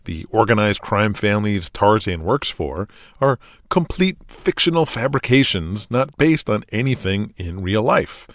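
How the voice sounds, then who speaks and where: A man's voice: on the low side, sing-song, measured pace, a bit bright, no echo, clean studio sound. A man hosting an audio drama podcast, reading a scripted introduction with tongue-in-cheek asides.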